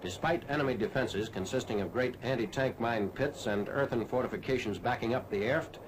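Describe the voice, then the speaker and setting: American male announcer for wartime newsreel. Medium pitch, formal clipped read, clearly noisy period recording. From United States. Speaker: newsreel narrator. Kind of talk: 1940s scripted newsreel narration. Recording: noisy 1940s film soundtrack.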